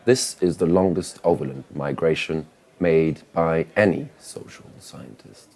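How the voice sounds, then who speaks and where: Deep-voiced solemn nature documentary narrator, played by a man. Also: unhurried, mellow, heavily acted. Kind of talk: scripted documentary narration parody.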